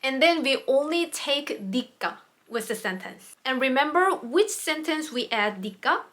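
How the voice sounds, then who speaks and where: A young woman, a native Korean speaker, leads an online grammar lesson in English. Her voice sits a bit high. She talks in a relaxed, explanatory way and says Korean example phrases slowly and more than once. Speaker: Korean language teacher.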